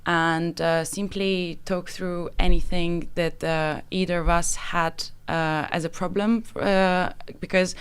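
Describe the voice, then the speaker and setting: A woman's voice: on the low side, steady tone, takes it slowly, few pauses, clean tone. A young woman from Bulgaria with a Bulgarian accent, a guest on a student radio show, speaking thoughtfully with many ums and uhs. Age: young adult. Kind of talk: casual chat on student radio.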